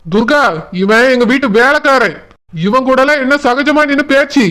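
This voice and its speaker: A man's voice: very high voice, moderate pace, bright, forceful tone. A man voicing an arrogant, jealous young man in a village cartoon, scolding and bossy, with a Kanyakumari Tamil accent. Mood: angry, threatening. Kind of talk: village cartoon drama acting.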